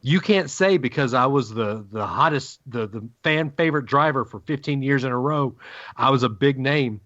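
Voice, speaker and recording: Podcast co-host, emphatic ranter, dry close mic, muffled lo-fi audio. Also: male voice, medium pitch, a bit bright, no echo. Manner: emphatic ranting, dramatic pauses. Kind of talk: casual sports podcast banter.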